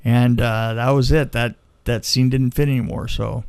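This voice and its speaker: A man's voice: mid-range voice, steady tone, normal speed, creaky, a little mellow, smooth, dry recording. A man telling behind-the-scenes film stories in a casual, conversational way, acting out the exchanges he quotes. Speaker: film crew veteran, podcast storyteller. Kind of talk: casual anecdotal storytelling.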